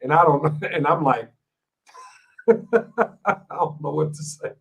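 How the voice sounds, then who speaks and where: A man's voice: on the high side, expressive, slow pace, creaky, fairly bright tone, highs cut off. A man from Ohio hosting a podcast, chatting casually, laughing often and restarting his sentences. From Ohio, United States. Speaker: podcast host. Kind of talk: casual interview chat.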